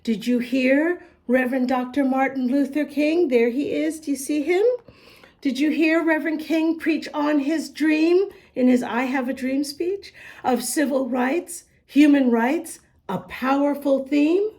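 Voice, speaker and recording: Woman doing kids storytime read-aloud, slow pace, expressive, talks right to the little listeners. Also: high voice. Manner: expressive, addresses child listeners directly with questions. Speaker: children's storytime reader and host. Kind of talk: read-aloud picture book for young children, with asides.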